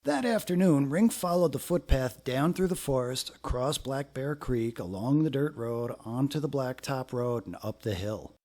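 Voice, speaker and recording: A man narrating a children's story aloud in an expressive, deliberate way with dramatic phrasing. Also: medium pitch.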